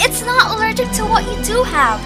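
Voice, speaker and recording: Woman as excitable, clever little creature, very high voice, animated delivery, music underneath. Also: normal speed. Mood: eureka, explaining. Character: young female cartoon creature, analytical, excitable problem-solver. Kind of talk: amateur audio drama acting.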